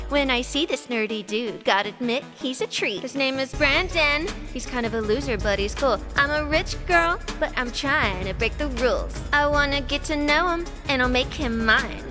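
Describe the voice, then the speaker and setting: Woman playing a high-pitched creaky spoiled girl rapper. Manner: bragging, determined. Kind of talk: rhymed rap over music.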